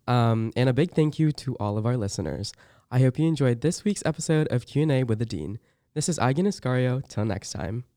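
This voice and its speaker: A young man, a first-year student, hosting a casual interview in a friendly, relaxed way with some ums. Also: medium pitch, fairly quick. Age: young adult.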